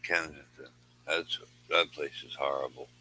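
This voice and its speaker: A man's voice: rumbling low, steady tone, unhurried, bright, forceful tone, no echo, rough recording. A man chatting informally as a guest on a live stream, often repeating himself. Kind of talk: casual chat.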